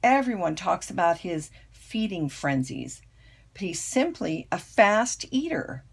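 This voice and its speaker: An older woman, a grandmother, reading a children's picture book aloud with expressive, storytelling emphasis. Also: fairly low pitch, creaky, breathy edge. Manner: unhurried, dramatic storytelling emphasis.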